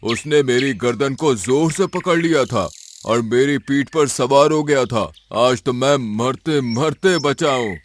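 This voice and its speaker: A man's voice: medium pitch, unhurried, a little hiss or hum, lightly acted. An actor voicing a frightened male tiger in a cartoon, stammering fearfully. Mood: scared. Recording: cartoon with music behind.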